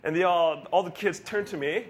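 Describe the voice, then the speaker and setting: A man's voice: sits high, normal speed. A male pastor giving a casual, rambling sermon, joking about himself and laughing often. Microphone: handheld or stand mic with feedback.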